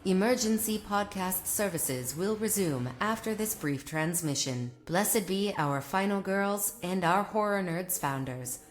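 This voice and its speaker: A woman playing a solemn emergency broadcast announcer, reading a formal, deadpan parody script in measured phrases. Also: medium pitch, slight background noise, close to an everyday voice. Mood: solemn, official.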